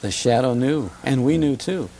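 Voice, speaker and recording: A man with a mid-pitched voice and noticeable vocal fry chats as a guest expert on old radio. He is enthusiastic and emphatic, often repeating a phrase to drive it home. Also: animated, moderate pace, dark tone. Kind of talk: conversational reminiscing about radio history.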